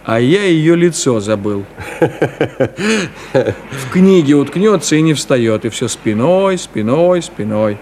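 An actor playing a talkative, bossy, bantering middle-aged city driver in a naturalistic Soviet radio play of the 1970s. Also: male voice, mid-range voice, animated, slow pace, pauses often, a little mellow. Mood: chatty, wry. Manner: bantering, chatty, bossy, colloquial. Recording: radio play with clear background noise. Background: car and street traffic ambience.

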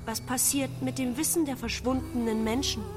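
High-voiced, curious female AI played by a woman. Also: steady tone, moderate pace, over music, natural voice. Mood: curious, detached. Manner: short, literal, matter-of-fact questions and statements. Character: curious, blunt young female artificial intelligence, naively questioning. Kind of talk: German radio drama acting.

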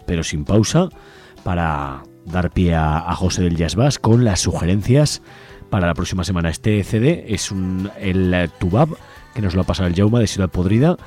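Deep, mellow Spanish man on radio. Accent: Spanish from Spain. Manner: conversational, unscripted. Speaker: community radio host. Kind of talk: informal radio announcements. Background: music bed.

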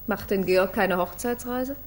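An actress playing a dry, long-suffering, ironically teasing wife in a 1950s German radio comedy. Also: female voice, medium pitch, unhurried, natural voice. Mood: dry, mocking. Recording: noisy vintage 1950s radio recording.

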